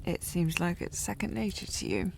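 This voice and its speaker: A woman playing a tipsy, haughty young noblewoman in a historical audio drama, teasing and rambling in a halting way. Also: lowish voice, expressive, creaky, a little hiss or hum, low-quality recording. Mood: musing, tipsy. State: playing slightly drunk. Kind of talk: scripted audio roleplay, period drama.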